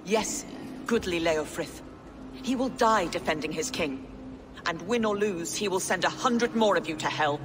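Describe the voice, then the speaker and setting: A woman's voice: fairly low pitch, moderate pace, long reverb tail, background noise, lightly acted. An actress playing a proud, captive queen who is loyal to her husband and swings between defiance and desperate pleading. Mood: defiant. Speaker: game character.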